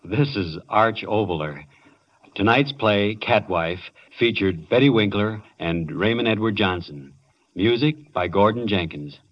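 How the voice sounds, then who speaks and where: A man's voice: fairly low pitch, lively intonation, soft background music. A man hosting a radio drama, giving formal closing announcements. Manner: measured, formal. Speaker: radio host and writer. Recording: lo-fi 1940s radio broadcast.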